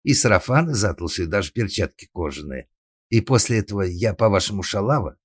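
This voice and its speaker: A man's voice: low voice, expressive, brisk, breathy edge. A man voicing an indignant young maidservant who talks back in a defiant, colloquial, grumbling way in a comic story.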